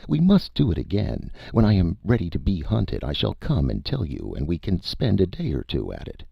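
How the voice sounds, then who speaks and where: Man voicing a courteous alien creature, gravelly and raised. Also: deep voice, wildly swooping pitch, no room sound, lo-fi sound, voice pushed up, full character voice. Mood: polite, unruffled. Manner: polite, measured, formal. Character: Cytha, eerie alien creature, calm, courteous, pleading. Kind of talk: audiobook character voice.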